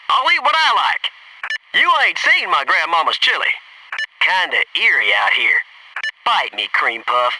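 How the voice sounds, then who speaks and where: A brash special-forces soldier for a military shooter game. His voice sits high and swings widely in pitch. He delivers squad talk with folksy, sarcastic punch. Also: bright, forceful tone, no echo, full character voice. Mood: wry, joking. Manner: punchy, sarcastic wisecracks and urgent squad callouts.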